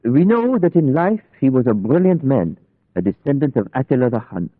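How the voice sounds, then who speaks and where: A man's voice: medium pitch, big pitch swings, moderate pace, natural voice. A man playing a grave, learned vampire hunter in an old-time radio play, speaking formally and solemnly with oratorical, archaic phrasing. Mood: solemn resolve. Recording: muffled band-limited radio recording, long echoing reverb.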